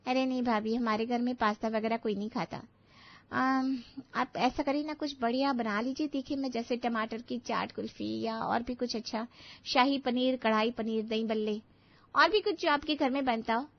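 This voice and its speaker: A woman as a picky, high-voiced young sister-in-law. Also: fairly even intonation, normal speed, muffled recording, lightly acted. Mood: particular.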